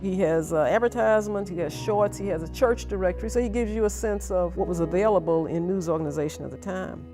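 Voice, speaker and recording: A woman's voice: lowish voice, fast talker. An older woman, a retired professor, giving explanatory interview talk. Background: music.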